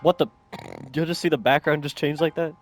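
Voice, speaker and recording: A woman's voice: deep voice, fairly even intonation, brisk. A woman giving casual playthrough commentary in a slangy, amused way, as a gaming content creator. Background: game music.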